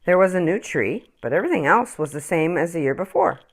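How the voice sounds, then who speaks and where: Low-pitched, very expressive woman storyteller. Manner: expressive, dramatic pauses. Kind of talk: children's picture book read-aloud.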